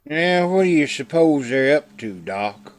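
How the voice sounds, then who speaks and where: A man's voice: fairly high pitch, lively intonation, a little slow. An American man with a rural accent playing a good-hearted, fretful frontier sidekick in a radio Western. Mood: worried. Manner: folksy, fretful. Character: good-hearted, worrying, folksy frontier deputy's helper. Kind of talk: old-time radio Western acting.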